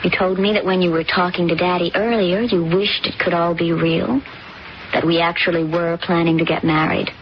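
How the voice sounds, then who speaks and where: A woman's voice: lowish voice, little pitch movement, moderate pace, slight background noise, close to an everyday voice. A woman playing a cold scheming young woman. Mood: persuasive. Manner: cold, controlling, persuasive. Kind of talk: 1970s radio drama acting. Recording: dull lo-fi old radio recording.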